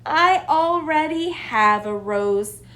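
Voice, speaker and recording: A woman's voice: high voice, expressive, slow pace, fairly bright tone, voice pushed up, full character voice. A woman voicing an affectionate, tender aunt in a storybook.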